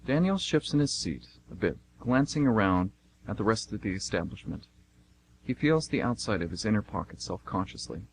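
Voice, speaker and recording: A man's voice: lowish voice, very animated, moderate pace, slight background noise, poor audio. A man reading scripted narration for an amateur radio play.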